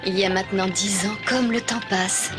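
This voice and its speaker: An actress playing a grown woman in a cartoon, recalling her childhood with measured, tender nostalgia over music. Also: mid-range voice, fairly even intonation, lightly acted. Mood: wistful. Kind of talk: reflective scripted narration in a French animated series dub.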